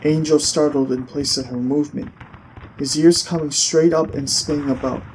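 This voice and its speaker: A man reading scripted story narration in a measured, expressive way with deliberate pauses. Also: on the high side, a little hiss or hum, low-quality recording. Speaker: audiobook narrator. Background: music.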